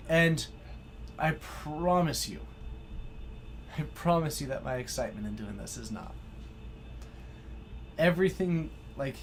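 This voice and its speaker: An excitable man hosting a live stream, giving play-by-play card game commentary and effusively thanking his viewers. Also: medium pitch. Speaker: stream host and match commentator.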